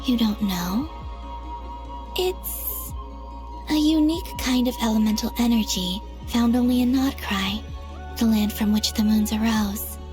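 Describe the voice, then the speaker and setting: A woman voicing a serene, earnest young moon goddess in an anime game, soft-spoken and measured. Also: on the high side, music in the background, lightly acted. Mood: calm, inquiring. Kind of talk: anime game cutscene acting.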